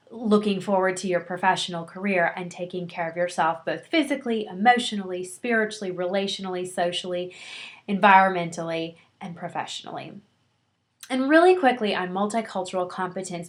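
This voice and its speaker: A middle-aged woman records a lecture for her counseling students. She speaks at an ordinary pitch and keeps a warm, encouraging, conversational tone. She often pauses on an um and restarts her sentences. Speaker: counseling instructor.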